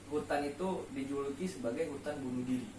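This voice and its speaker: A man's voice: medium pitch, steady tone, quick pace. Echoey, distant man explaining things. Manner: rhetorical questions, repeats key words for emphasis. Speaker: main speaker on a late-night discussion show. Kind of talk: casual explanatory chat on faith and life. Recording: roomy echo, distant mic, faint noise.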